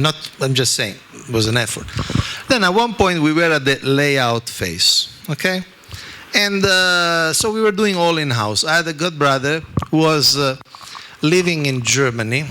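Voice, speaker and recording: Italian-accented man giving a bright, lively scripture talk. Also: medium pitch, a little hiss or hum. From Italy. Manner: animated, self-deprecating, frequent "okay?" asides. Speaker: spiritual teacher.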